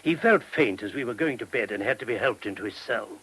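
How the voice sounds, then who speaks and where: An actor playing a polite, placating senior monk with a creaky voice in a radio play. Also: male voice, medium pitch, animated, fast talker, fairly bright tone, low-quality recording. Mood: apologetic. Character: senior monk, anxious to smooth things over, polite. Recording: faint hiss, old radio recording.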